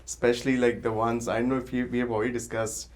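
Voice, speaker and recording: A man's voice: medium pitch, level tone, quick pace, slight background noise. A man who works as a voice actor, chatting casually and explaining in a hesitant, circling way. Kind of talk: casual chat.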